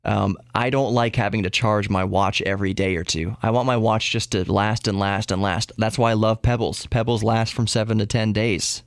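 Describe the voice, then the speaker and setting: A man's voice: lowish voice, steady tone, normal speed, hardly any pauses, no echo, studio quality. A man hosting a podcast solo, talking casually in a rambling way, often interrupting himself and repeating words for emphasis. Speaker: podcast host. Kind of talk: casual solo tech and geek news talk.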